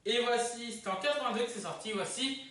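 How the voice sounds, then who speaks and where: Echoey, bright-voiced presenter. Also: mid-range voice, fairly quick. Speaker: host of a countdown video channel. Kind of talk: casual presenting of a ranking list to camera. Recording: echoey room, distant mic.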